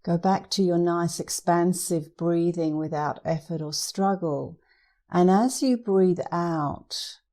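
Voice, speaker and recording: Slow, soft-toned woman guiding meditation. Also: on the low side. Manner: soothing; gentle, unhurried instruction with pauses. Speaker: meditation instructor. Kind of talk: guided meditation.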